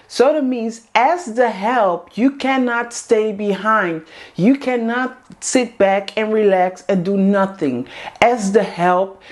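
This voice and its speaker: A woman's voice: medium pitch, a little mellow. A woman whose first language is Dutch, speaking English to camera as host of a women's group, earnest and repeating coaching lines. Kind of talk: motivational talk to camera.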